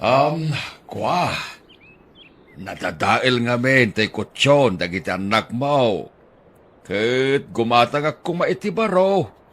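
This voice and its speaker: A man acting in an Ilocano radio drama, his voice lively and wide-ranging. He speaks slowly, in a warm fatherly tone, affectionate with each line. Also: medium pitch. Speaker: actor in audio drama.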